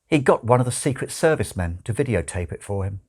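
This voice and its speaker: Guy reading a funny novel out loud, really animated pitch, wry storyteller delivery. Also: brisk. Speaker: audiobook reader. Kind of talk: dramatic scripted narration of a comic novel.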